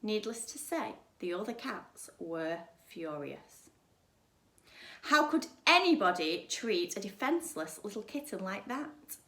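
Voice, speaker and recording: Female primary-teacher storyteller, medium pitch, expressive and emphatic reading for children, recorded in an echoey room. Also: unhurried. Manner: dramatic, emphatic delivery.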